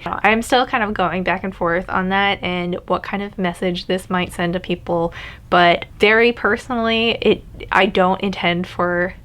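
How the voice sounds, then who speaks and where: A woman's voice: on the low side, a bit bright. A young adult woman talking candidly to camera in a casual personal vlog, laughing now and then. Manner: candid, chatty, laughs often. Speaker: vlogger.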